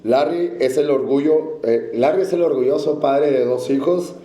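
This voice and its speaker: A man's voice: a little high, normal speed, mellow. A man introducing a guest speaker at a club, reading formally from notes. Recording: echoey room.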